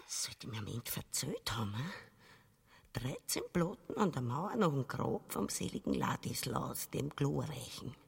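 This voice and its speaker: A woman playing a tyrant's greedy, scheming, jittery wife with a husky, breathy Austrian voice, muttering and exclaiming to herself in a comic radio play. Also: a bass voice, big pitch swings, unhurried, heavily acted. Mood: greedy, excited. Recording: long echoing vault reverb.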